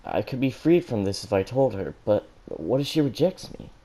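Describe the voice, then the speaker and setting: A man's voice: mid-range voice, lively intonation, moderate pace, mellow, natural voice. A man voicing a lovesick, self-doubting teenage girl in a story reading, hesitant and anxious. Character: lovesick, anxious teenage girl fretting over her crush.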